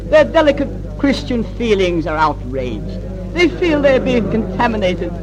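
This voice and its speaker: An actor playing a sly, sardonic prince who taunts in a theatrical way in an old-time radio historical drama. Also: male voice, sits high, sing-song, a little slow, crowd noise, poor audio. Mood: bitterly ironic. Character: sly, mocking prince, younger brother of the king. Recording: vintage 1940s-50s radio recording.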